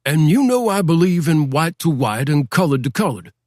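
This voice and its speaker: A man's voice: animated, moderate pace, a little mellow, no room sound, studio quality, close to an everyday voice. A man voicing an insistent, condescending southern sheriff in an audiobook. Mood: pressing. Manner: insistent, repeats himself, pitch pushed up. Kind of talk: audiobook character voice.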